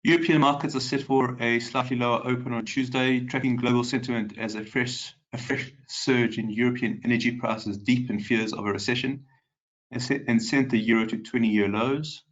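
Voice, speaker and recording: A man's voice: mid-range voice, steady tone, normal speed. Male webinar host, nasal and a bit congested, casual with lots of fillers; dull, lo-fi audio. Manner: chatty, greets viewers by name, frequent ums. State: congested from a cold. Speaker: market specialist hosting a webinar. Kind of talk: live webinar market commentary.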